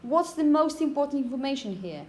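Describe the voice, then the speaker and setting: A woman's voice: sits high, animated, a little fast, dark tone. A woman phonetics teacher lecturing to students, explaining and emphasizing example words, with frequent check-in questions. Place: classroom, indoors. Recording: echoey room, background noise.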